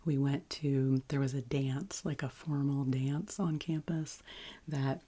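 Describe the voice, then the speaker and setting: A woman with a deep, husky voice chats gently as an ASMR host, soft-spoken and often whispering. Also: fairly even intonation. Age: adult, past college. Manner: slow, gentle, soothing delivery.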